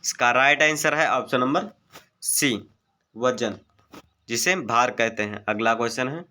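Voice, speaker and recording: A man's voice: mid-range voice, unhurried, bright, forceful tone. A man teaching an exam-prep lesson, methodically reading out multiple-choice questions, their options and the right answers. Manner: methodical, formulaic delivery.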